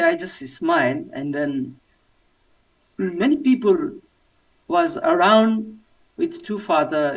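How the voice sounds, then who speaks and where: Nepali guy, high voice, kinda far from the mic, lots of uhs, broken english testimony. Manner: halting non-native English with many fillers. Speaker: church member giving testimony. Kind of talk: personal testimony talk.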